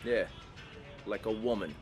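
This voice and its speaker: A man playing a sardonic, unflappable tough criminal in a video game cutscene, giving quick sarcastic retorts. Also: fairly low pitch, natural voice. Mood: dry sarcasm. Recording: game audio with music behind.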